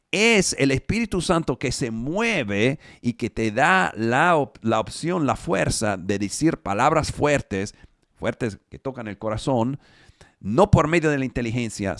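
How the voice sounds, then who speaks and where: A man preaching in Spanish, which is not his first language, over a video call, reading scripture slowly and often searching for his words. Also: medium pitch, gravelly, no echo, studio quality. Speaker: pastor.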